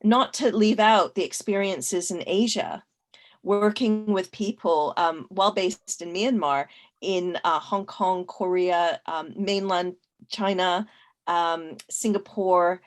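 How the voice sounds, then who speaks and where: A woman's voice: on the low side, takes it slowly. A woman from Canada speaking as a panelist in a casual discussion, thoughtful, with frequent fillers and restarts.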